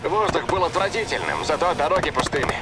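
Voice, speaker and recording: A man's voice: a little high, sing-song, quick pace. A man acting a friendly, chatty street racing organizer in a dubbed video game, guiding a rookie. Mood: nostalgic, chatty. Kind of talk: video game dialogue, Russian dub. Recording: loud car engine noise behind voice.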